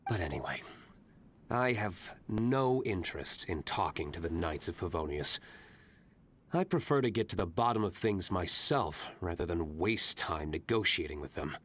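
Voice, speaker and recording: Self-assured vigilante aristocrat for a video game: low voice, reserved, cool, measured delivery. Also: male voice, animated, fairly bright tone. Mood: serious, matter-of-fact. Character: cool, aloof young nobleman vigilante, composed and self-assured. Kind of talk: anime-style video game voice acting. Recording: background music under the voice.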